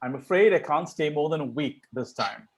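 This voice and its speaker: Casting: idle, cheeky young Victorian gentleman played by a man, lightly raised pitch, breezy teasing, amateur comedy read. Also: lively intonation, moderate pace. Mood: flippant, teasing.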